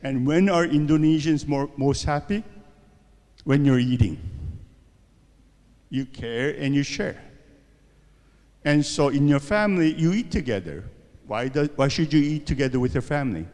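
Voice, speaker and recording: A man's voice: fairly high pitch, lively intonation, moderate pace, long pauses, darkish tone, big-room sound. A man giving a university seminar lecture, asking rhetorical questions and often checking in with "okay?" and "right?". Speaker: seminar speaker.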